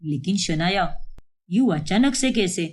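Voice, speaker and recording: A woman's voice: lowish voice, lively intonation, measured pace, voice pushed down. A woman voicing a trusting young husband in a cartoon story, talking in an earnest, pleading way. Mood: gentle.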